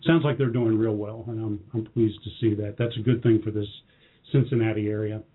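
A middle-aged or older man with a slightly husky voice, answering interview questions in a plain-spoken, affirming, conversational way. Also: lowish voice, flat delivery, fairly quick. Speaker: company president, interview guest. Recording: muffled, band-limited lo-fi audio.

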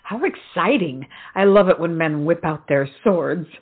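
An actress playing an excitable, swooning colonial woman in a comic stage play. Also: on the low side, unhurried, slight background noise. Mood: thrilled. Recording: muffled, tinny stage play recording.

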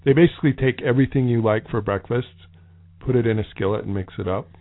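A man's voice: medium pitch, quick pace. A man co-hosting a travel show, talking in a dry, joking, matter-of-fact way. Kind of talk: casual chat. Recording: tinny phone-like line.

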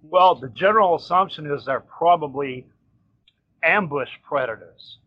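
A male host talking in a measured, matter-of-fact way in a casual interview. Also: fairly high pitch, expressive. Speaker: podcast host. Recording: dull lo-fi audio with faint noise.

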